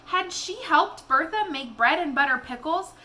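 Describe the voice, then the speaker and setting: A woman reading a children's novel aloud in an expressive storytelling style. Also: high-pitched, normal speed.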